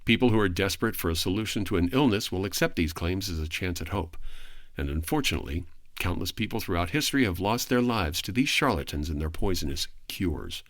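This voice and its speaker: A man speaking as a podcast guest, giving a prepared, articulate explanation in formal, measured phrasing. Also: low voice, animated, fairly bright tone, no echo, clean studio sound.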